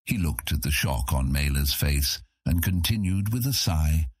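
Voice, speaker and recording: Very deep, husky male narrator. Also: expressive, measured pace, no echo, clean studio sound. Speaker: audiobook narrator. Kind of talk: dramatic scripted fantasy narration.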